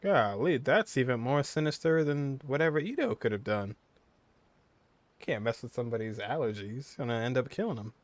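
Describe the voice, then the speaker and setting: A man's voice: mid-range voice, expressive, normal speed, no echo. A man giving casual gameplay commentary as a host, reacting aloud in an informal way. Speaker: gaming video host.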